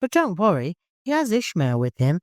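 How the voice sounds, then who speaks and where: A man voicing a brisk, reassuring woman of a desert camp in an audiobook. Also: sits high, huge pitch swings, no room sound, studio quality, pitched above the performer's own voice.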